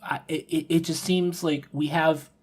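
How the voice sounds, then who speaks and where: Husky man, halting casual podcast talk. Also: on the high side, steady tone. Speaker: podcast panelist.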